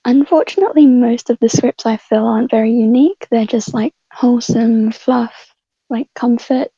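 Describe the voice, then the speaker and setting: A woman's voice: a little high, steady tone, normal speed, dark tone, breathy edge, no room sound. A woman chatting casually as a voice actor guest, on a dull lo-fi recording. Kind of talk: casual chat. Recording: dull, lo-fi mic sound.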